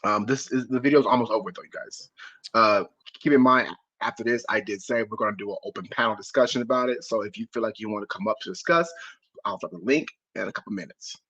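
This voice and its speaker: A woman's voice: extremely low pitch, fast talker, breathy edge. A woman on an online panel chatting casually with lots of slang. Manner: chatty, animated slang talker. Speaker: panel guest. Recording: dull lo-fi call audio.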